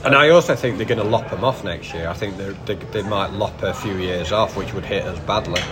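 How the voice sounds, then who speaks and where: A man's voice: on the low side, brisk. Rambling man amid crowd noise. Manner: rambling, hesitant, frequent restarts. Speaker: podcast co-host. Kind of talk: casual chat. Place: outdoors by a canal before an away match. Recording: busy crowd noise behind.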